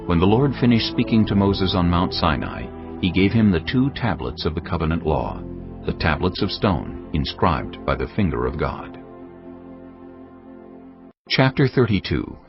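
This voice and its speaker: Male narrator for scripted scripture: very deep, lively intonation, slightly muffled with soft music behind. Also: normal speed. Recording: dull band-limited sound, faint music.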